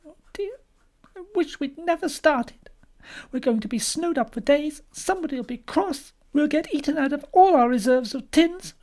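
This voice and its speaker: An anxious young housewife from a storybook, played by a woman, with a high, very mobile voice. She is polite but fretful, and expressive in every phrase. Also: normal speed. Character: anxious, harried young wife running a guest house.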